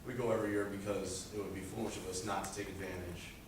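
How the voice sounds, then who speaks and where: Teen boy, low monotone voice, earnest student presenter, distant mic in an echoey school room with noise. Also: quick pace. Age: teens. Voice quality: low speaking voice. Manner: earnest, rambling with many "uh" fillers. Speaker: high school student presenting a senior project. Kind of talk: presentation speech.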